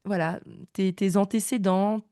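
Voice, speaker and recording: A woman's voice: mid-range voice, fairly even intonation, normal speed, mellow, no room sound, clean studio sound. A woman in her thirties hosting a podcast, enthusiastic and chatty. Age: 30s. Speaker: podcast host. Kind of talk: casual interview chat.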